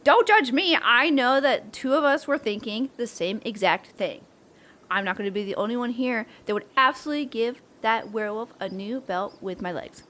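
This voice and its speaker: Young monster-hunting camper played by a woman: cocky, playful, soft-hearted tease, slightly high pitch, comedic roleplay. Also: expressive, normal speed. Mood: eager, sympathetic. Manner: teasing, brash, playful. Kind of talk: comedic audio roleplay acting.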